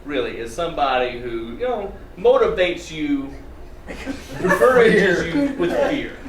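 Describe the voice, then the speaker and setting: A man's voice: on the high side, very animated, unhurried, a little hiss or hum. A man narrating and riffing as a comedy performer at a live comics reading. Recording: echoey distant live room with crowd.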